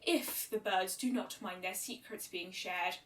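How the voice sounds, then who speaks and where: A woman voicing an ancient, gentle pony princess in a fan fiction story, speaking formally and measuredly. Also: mid-range voice, unhurried, pitched below the performer's own voice, natural voice. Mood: gracious. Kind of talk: amateur fan fiction reading. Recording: roomy echo, distant microphone.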